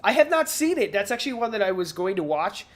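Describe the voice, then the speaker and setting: A podcast co-host talks in a casual, off-the-cuff way. The delivery is hesitant and rambling, circling back over words, at an ordinary middle pitch. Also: sing-song, fast. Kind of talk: casual pop culture discussion.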